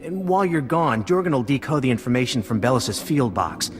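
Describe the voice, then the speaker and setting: An eager male lieutenant, played by an actor gives an informal game briefing with lively intonation. He is chatty and earnest, with music playing behind him. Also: medium pitch, a little fast, lightly acted. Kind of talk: video game cutscene acting.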